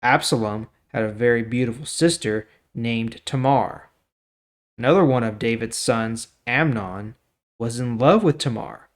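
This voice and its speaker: A man's voice: medium pitch, measured pace. Casual male scripture reader. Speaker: host of a daily Bible reading series. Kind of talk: scripture reading with informal commentary.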